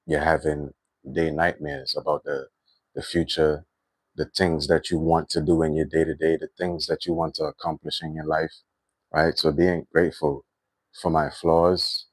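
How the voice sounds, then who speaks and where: Very deep-voiced Trinidadian man, dry sound. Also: no echo. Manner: reflective, unhurried, many fillers like "you know" and "right". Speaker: livestream host. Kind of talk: spiritual livestream monologue.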